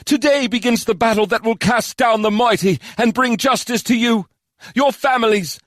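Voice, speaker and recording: Male narrator as female warrior bard, very high pitch, declamatory, wistful, fervent, storybook heroine. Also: fairly bright tone, dry recording, clean studio sound. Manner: declamatory, earnest. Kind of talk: audiobook character voice.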